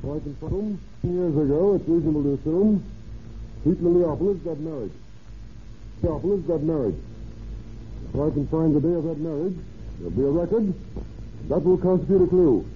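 An actor playing a confident, methodical private detective in an old-time radio drama, musing and deliberate. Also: male voice, fairly high pitch, lightly acted. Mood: reasoning aloud. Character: methodical, confident male private sleuth dictating to his secretary. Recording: tinny, noisy vintage radio recording.

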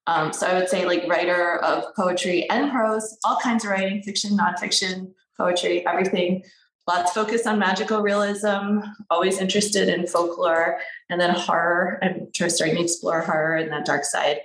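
A woman's voice: fairly low pitch, fairly even intonation, normal speed, a bit bright, recorded from a distance, a little hiss or hum. A young adult woman, a writer and interview guest, talking casually and rambling with many fillers and restarts. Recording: roomy echo, voice set back from the mic.

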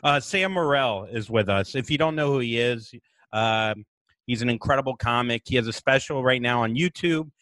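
A comedian hosts a loose podcast chat in a medium-pitched male voice with a light lisp. He swears freely, mocks himself and laughs a lot. The mic is close and the sound is dry, with no room around it. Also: fairly bright tone, no echo. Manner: crude, self-mocking, quick to laugh. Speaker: podcast host.

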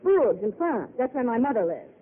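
An actress playing an earnest, outspoken young waitress with big dreams, heard on a tinny old radio broadcast. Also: female voice, on the high side, sing-song, moderate pace. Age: young adult. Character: dreamy, earnest young woman at a desert diner. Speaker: diner waitress. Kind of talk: 1930s radio drama acting.

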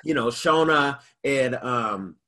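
A man's voice: mid-range voice, slow, sharp tone. A man with an American accent hosting a casual interview, asking long, rambling questions in a conversational way. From United States. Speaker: interview host.

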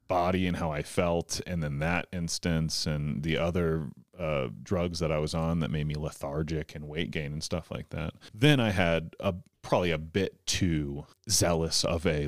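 Male, 30s, podcast guest. Deep, casual, meandering delivery, dry room. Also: no room sound. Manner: rambling, lots of filler words and restarts. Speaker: guest comedian.

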